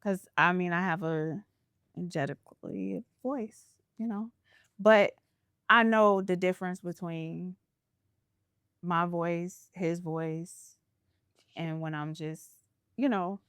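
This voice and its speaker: Slow-spoken, smooth-voiced woman host. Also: lowish voice, steady tone, fairly bright tone, no room sound, studio quality. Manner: earnest, reflective, spiritual storytelling. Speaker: podcast host. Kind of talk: casual interview chat.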